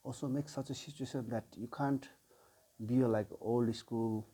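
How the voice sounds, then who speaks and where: A man in his forties from Nepal with a Nepali accent, a sound healer telling his life story in an unhurried way, pausing often. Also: medium pitch, fairly even intonation, mellow, slightly rough. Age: 40s. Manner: unhurried, with frequent pauses and filler sounds. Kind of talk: personal life story told to camera.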